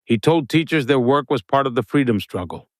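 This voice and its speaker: Guy answering interview questions, formal and steady, dry close mic. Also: medium pitch, brisk, no echo. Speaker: interview guest.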